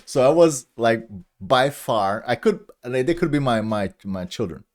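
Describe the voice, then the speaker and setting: A man's voice: medium pitch, animated, a little slow. A man in his thirties with a German accent, a live-stream host chatting casually and rambling with lots of restarts. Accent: German-accented English. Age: 30s. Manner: rambling, chuckles at himself, many restarts. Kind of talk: casual chat with viewers.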